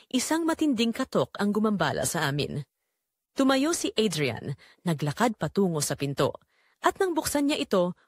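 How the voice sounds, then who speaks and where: Female audiobook narrator for romance drama. Lively, varied pitch, measured emotive delivery, very dry recording. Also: no room sound. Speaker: audiobook narrator reading a first-person romance story.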